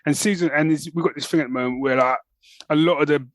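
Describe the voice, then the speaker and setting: A man with a slightly high voice chats as a podcast host. He tells things in an animated, informal way, ranting casually. The sound is close and dry. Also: quick pace, no echo.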